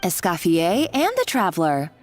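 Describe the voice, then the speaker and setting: A woman's voice: fairly low pitch, very animated, moderate pace, a little mellow, soft background music. An actress playing a scornful, greedy female villain in a video game, with a mocking, theatrical delivery. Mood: threatening. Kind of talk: dramatic video game voice acting.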